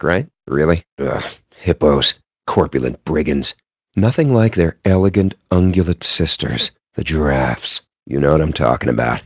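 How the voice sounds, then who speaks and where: An actor playing a wry, smug, wordy gamer in video game banter, sardonic and theatrically articulate. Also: male voice, sits low, no echo, studio quality. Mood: sly, chatty, then smitten musing. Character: wry, verbose, smug male gamer and card player.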